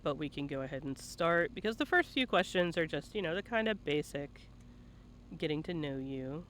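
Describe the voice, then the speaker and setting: A man with a lightly high voice hosts a relaxed live interview stream. He banters and teases with casual profanity, sometimes half-singing his asides. There is some noise behind him. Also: normal speed. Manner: playful teasing, profane banter. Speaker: interviewer and livestream host.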